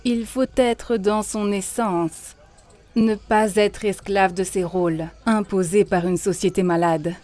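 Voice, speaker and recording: A woman playing a calm, wise leader of ancient pagan rites in a cartoon, speaking in a solemn, instructive way. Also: medium pitch, slow, lightly acted. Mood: earnest, instructive. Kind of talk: cartoon dialogue, French dub.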